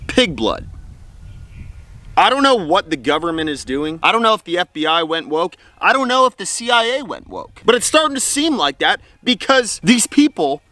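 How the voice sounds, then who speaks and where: Animated, high-pitched American man. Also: normal speed, dry recording. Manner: blunt, indignant, colloquial. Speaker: host of a political commentary show. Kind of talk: opinionated commentary to camera.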